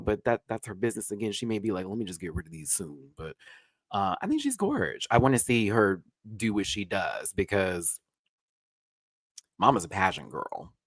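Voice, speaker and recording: A man giving an animated, sassy live review of a drag competition show, with big swings in pitch. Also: no room sound.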